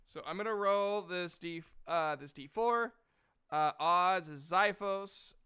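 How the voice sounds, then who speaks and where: A man's voice: high voice, slow pace, bright, forceful tone, clean tone. A man narrating a tabletop game as game master, casual and improvised, with joking, profane asides. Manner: jokey, profane.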